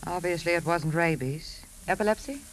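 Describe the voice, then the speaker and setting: A woman's voice: on the low side, normal speed, natural voice, low-quality recording. A woman acting an outspoken, suspicious wife turned amateur sleuth in a 1950s radio drama. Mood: insistent. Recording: 1950s radio broadcast with faint noise.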